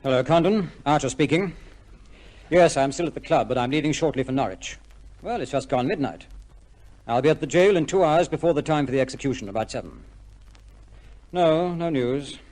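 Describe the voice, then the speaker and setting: A man's voice: a little high, animated, fairly quick, long pauses, a little mellow, lightly acted. Clipped, dutiful policeman played by a man. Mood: businesslike. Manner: clipped, formal, plain-spoken. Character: weary, uneasy, dutiful male senior policeman. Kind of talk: old-time radio drama acting.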